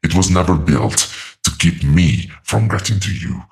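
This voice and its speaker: A man playing an ancient, patient demonic entity in a horror audio drama, speaking with calm, mocking, deliberate menace. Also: sits low, vocal fry.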